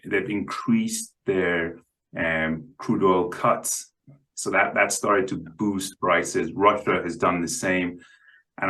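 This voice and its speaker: Deep voiced guy, guest analyst on a podcast, careful halting explainer, repeats words as he goes. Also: a bit bright, rough recording. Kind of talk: conversational market analysis.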